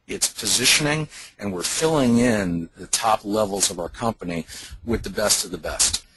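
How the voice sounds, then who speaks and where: A man's voice: on the low side, moderate pace, a bit bright, slightly rough, no room sound. A man speaking as a company founder, giving an earnest, explanatory sales presentation full of business jargon on a conference call. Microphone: telephone conference call. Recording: noisy conference call line with clicks.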